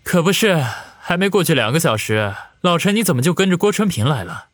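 A man voicing a calm, scheming younger gang leader in an audiobook, speaking with measured confidence. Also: fairly high pitch, no echo, clean studio sound, pitched above the performer's own voice. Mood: calm, calculating. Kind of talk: audiobook character acting.

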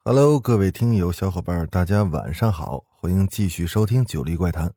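A man's voice: sits low, expressive, a little fast, mellow, breathy edge, no echo. A man hosting and narrating ghost stories in a conversational, folksy, suspenseful storytelling style. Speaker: horror podcast host and narrator.

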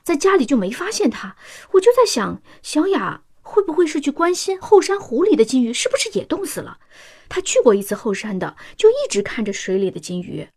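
A woman's voice: sits high, darkish tone. An actress playing a devoted, anxious working-class mother in a science-fiction radio drama, pleading and sighing emotionally. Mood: worried.

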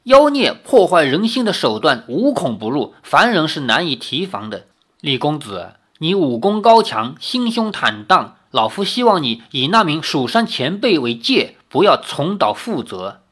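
A man's voice: fairly high pitch, sing-song, measured pace, fairly bright tone, full character voice. A man voicing a stern, proud older martial master and father who speaks weightily.

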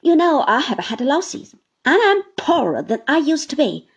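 A woman voicing an older, proud aunt who speaks in emotional, reproachful pleading, in a breathy voice. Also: mid-range voice, expressive, a little slow, muffled recording, heavily acted. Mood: indignant.

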